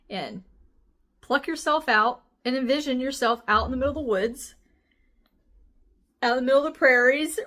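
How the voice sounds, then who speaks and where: A woman in her forties speaks in a somewhat high voice with a light rasp. She talks like a vlogger catching up with viewers, casual and candid, telling things in an animated way. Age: 40s. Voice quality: slightly raspy. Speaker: health vlogger. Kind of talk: casual chatty personal update.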